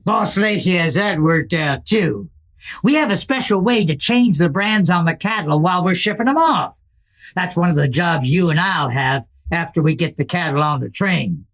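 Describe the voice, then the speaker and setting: A woman voices a cocky outlaw lieutenant in a Western radio drama. The delivery is animated and condescending, with folksy American cowboy talk. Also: on the low side, moderate pace. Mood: condescending, boastful. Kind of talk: Western radio play acting.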